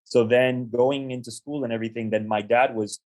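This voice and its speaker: A young adult man from the United States, an interview guest, telling his life story in a measured, reflective way with frequent ums and restarts. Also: mid-range voice, fairly even intonation, darkish tone, rough recording. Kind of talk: conversational storytelling.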